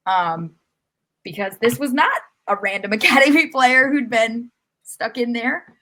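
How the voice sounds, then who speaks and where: A woman's voice: fairly high pitch, a little slow, a bit bright. A woman co-hosting a sports podcast, recapping matches in an informal, lightly joking conversational way. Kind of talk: casual match recap chat.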